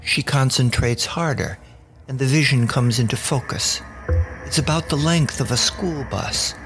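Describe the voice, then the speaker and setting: Guy narrating a story podcast, gravelly, takes his time, music playing behind. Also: mid-range voice, a little slow, fairly bright tone. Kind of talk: scripted audio drama narration. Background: music bed.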